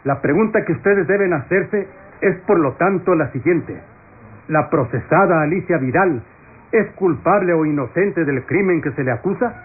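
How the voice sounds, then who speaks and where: Actor como juez solemne, voz algo aguda. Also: male voice, fairly high pitch, animated, a little hiss or hum, close to an everyday voice. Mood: solemn. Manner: measured, formal, authoritative. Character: solemn, impartial presiding judge. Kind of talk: classic Latin American radio soap acting. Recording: tinny old radio recording.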